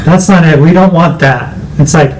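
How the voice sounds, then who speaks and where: Reflective man with a higher voice. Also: normal speed, slight background noise, poor audio. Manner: reflective, searching for words. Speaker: co-host. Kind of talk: personal conversation.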